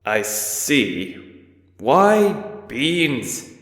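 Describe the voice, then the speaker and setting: A man's voice: mid-range voice, very animated, extremely slow, a bit bright, voice pushed up, exaggerated voice. A man voicing a shaken human fighter who talks in a nervous, apologetic way. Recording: reverberant roomy echo.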